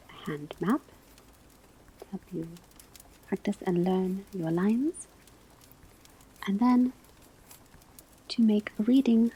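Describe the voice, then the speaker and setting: A calm, instructive professor of magic acted by a woman gives a whispered ASMR classroom lecture. The voice is soft, breathy and mellow, and moves very slowly and deliberately. Crackling fire and rustling pages sit audibly behind it. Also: medium pitch. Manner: slow, deliberate, measured, instructive. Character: calm woman professor of divination at a witch school. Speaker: ASMR roleplay performer. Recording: clear background ambience noise. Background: classroom ambience, crackling fireplace, pages turning.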